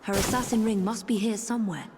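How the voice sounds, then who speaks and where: A woman's voice: medium pitch, steady tone, quick pace, heavy background noise, close to an everyday voice. An actress playing a determined, grim assassin in a video game, speaking tersely and seriously as she works things out to herself. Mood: focused musing. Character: determined, grim female assassin hunting a killer. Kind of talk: dramatic video game acting. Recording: loud game music behind.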